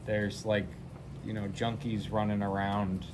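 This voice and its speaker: A man's voice: fairly low pitch, little pitch movement, a little slow, a crowd in the background. A man chatting casually and asking questions, rambling with lots of fillers. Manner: rambling, heavy use of fillers like "you know". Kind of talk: casual chat.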